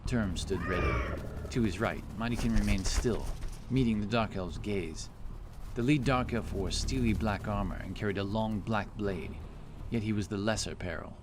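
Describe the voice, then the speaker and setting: Low-voiced man narrating fantasy. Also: moderate pace, background noise. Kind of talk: scripted dramatic fantasy narration.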